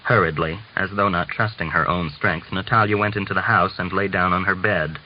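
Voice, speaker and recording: A man reading a literary novel aloud as scripted narration, in a measured manner. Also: low voice, fairly even intonation. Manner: measured, acts out dialogue. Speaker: audiobook reader. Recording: muffled lo-fi audio with clear hiss.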